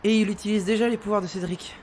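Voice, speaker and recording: A woman's voice: mid-range voice, fairly even intonation, fast talker, a little mellow, a little hiss or hum, close to an everyday voice. Woman playing an earnest, dedicated investigator. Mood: businesslike, curious. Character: earnest, dedicated female investigator with special powers. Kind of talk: French audio drama acting.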